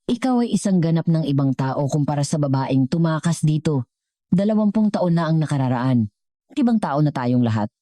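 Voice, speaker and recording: A woman narrator plays a middle-aged husband and businessman in a storybook tale. He is devoted, sincere and reflective, speaking in a very low, dark-toned voice, recorded dry and close. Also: lively intonation, moderate pace, no echo. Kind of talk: scripted storybook dialogue.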